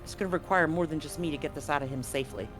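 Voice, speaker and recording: A man's voice: on the high side, racing, fairly bright tone, faint music behind, natural voice. A man playing an anxious, grave doctor in a tabletop game, explaining things clinically and hesitantly. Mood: urgent, insistent. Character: anxious, grave male doctor at a remote medical facility.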